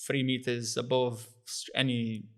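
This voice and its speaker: A man in his thirties with an Italian accent, chatting as a podcast guest in slightly broken English, relaxed and laughing often. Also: mid-range voice, unhurried. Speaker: guest footballer. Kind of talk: casual podcast chat.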